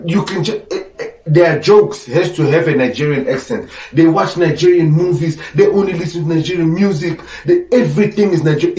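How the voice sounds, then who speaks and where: A man's voice: a little high, dark tone, roomy sound, far from the mic, low-quality recording. A man originally from Angola whose first language is Portuguese, hosting a podcast with blunt, lecturing opinion talk. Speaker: podcast host. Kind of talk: opinionated commentary.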